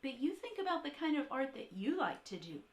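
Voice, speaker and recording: A woman art teacher reading aloud and talking to young children in an encouraging, expressive, direct way. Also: high voice, brisk. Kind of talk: children's read-aloud and talk to young kids.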